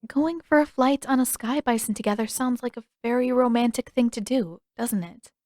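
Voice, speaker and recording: A woman voicing a gentle, regretful teenage princess in an audiobook, with soft, careful, polite phrasing. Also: fairly high pitch, moderate pace, dry recording, clean studio sound, pitched above the performer's own voice, natural voice. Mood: hesitant, regretful. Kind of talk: audiobook character dialogue.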